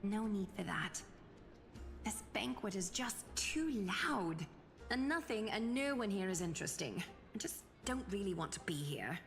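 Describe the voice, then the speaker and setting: A woman's voice: medium pitch, sing-song, a little slow, gravelly. A woman voicing a poised, dry, aloof young noblewoman in a video game, composed and formal. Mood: bored, dry. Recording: long reverb on game dialogue, music behind.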